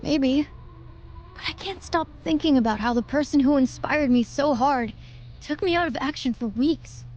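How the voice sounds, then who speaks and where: A woman's voice: sits high, moderate pace, darkish tone, muffled recording, close to an everyday voice. A woman playing an earnest, impulsive, thrill-loving young wrestler in a game cutscene, speaking casually and expressively. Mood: troubled.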